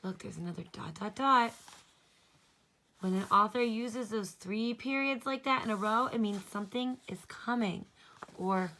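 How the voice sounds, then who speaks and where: A woman's voice: mid-range voice, moderate pace, bright, forceful tone. A woman teacher reading a children's storybook aloud, expressively, and explaining things in simple terms.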